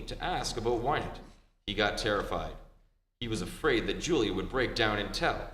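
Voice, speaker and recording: Detective, male actor, middle-pitched and animated, shrewd wisecracker, old-time radio play, roomy hall with faint hum. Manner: deliberate explaining, dry wisecracks. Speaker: amateur stage actor.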